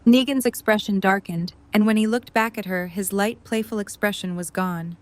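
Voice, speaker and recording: A woman's voice: medium pitch, fairly even intonation, moderate pace. A woman reading scripted story narration for an audio drama.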